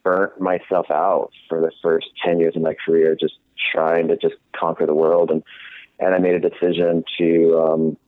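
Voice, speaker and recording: A young adult man in casual conversation as a guest, talking haltingly with many fillers and restarts. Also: sits low, monotone, dry recording. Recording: tinny phone line.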